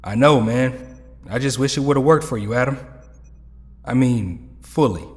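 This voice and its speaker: A male actor plays a joking, loyal young ex-hero in an audio drama. He talks in a relaxed, slangy way at a medium pitch. A long reverb surrounds him. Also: moderate pace, close to an everyday voice. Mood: sincere, regretful. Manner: laid-back, slangy talk.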